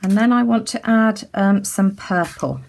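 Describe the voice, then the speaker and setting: A woman from the UK giving a casual craft tutorial as she works, chatty and full of ums, her voice a little croaky. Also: mid-range voice, measured pace, darkish tone. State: slightly croaky. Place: indoors at a craft table.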